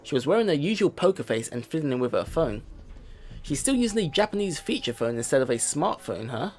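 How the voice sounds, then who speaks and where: A man doing a let's play, reading visual novel narration aloud in an expressive storytelling style. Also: on the high side, a little fast. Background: game music.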